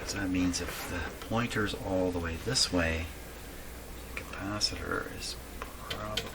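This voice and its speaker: A man giving casual hands-on repair narration, halting and thinking aloud to himself as he works. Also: deep voice. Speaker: host of a radio repair channel. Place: indoors at a home workshop. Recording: clearly noisy room recording.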